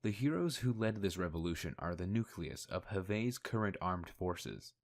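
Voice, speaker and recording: A man with a very deep voice narrates a fan-made radio play. He reads in a measured storytelling manner, setting scenes and reading out documents. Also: expressive. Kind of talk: scripted narration.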